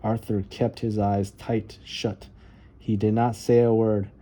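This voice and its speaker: Very mellow, soft-toned man reading a storybook. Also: on the low side, level tone, takes it slowly, a little hiss or hum. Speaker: storybook reader. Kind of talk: children's read-aloud narration.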